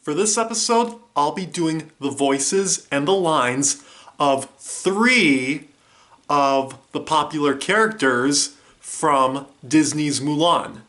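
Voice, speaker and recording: A woman's voice: deep voice, slow, fairly bright tone. A woman hosting and presenting an episode of character voices. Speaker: host.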